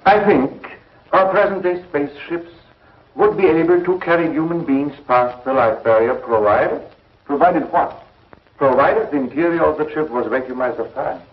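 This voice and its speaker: An actor playing a thoughtful middle-aged scientist who lectures deliberately in a 1950s television space serial. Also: male voice, a little high, natural voice. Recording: muffled 1950s film soundtrack.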